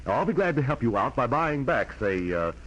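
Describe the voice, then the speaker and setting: An actor playing a pompous, brusque, money-minded older brother in a 1940s American radio drama. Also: male voice, medium pitch, sing-song, fairly quick, fairly bright tone, low-quality recording. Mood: brusque, smooth-talking.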